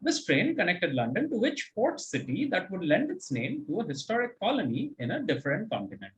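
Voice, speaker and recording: A man's voice: high-pitched, wildly swooping pitch, a little fast, fairly bright tone, rough recording. A man hosting a quiz, reading out questions and commenting on answers in an articulate, encouraging, explanatory way. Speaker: quizmaster and host.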